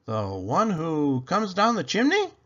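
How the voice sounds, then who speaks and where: A man's voice: on the high side, wildly swooping pitch, slow, fairly bright tone, muffled recording, pitched above the performer's own voice, full character voice. A man voicing a cheerful, literal-minded housekeeper woman in an earnest, exclaiming storybook delivery. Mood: surprised.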